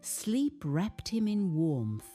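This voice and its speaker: A woman narrating a scripted children's bedtime story in a soothing, expressive storybook manner. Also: mid-range voice, drawn-out words, faint music behind.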